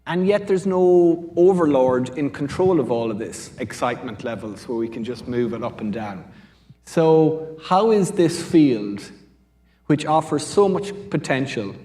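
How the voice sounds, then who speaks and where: A man's voice: medium pitch, measured pace, mellow. Irish man speaking on a panel. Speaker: business school speaker on a panel. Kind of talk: panel discussion answer.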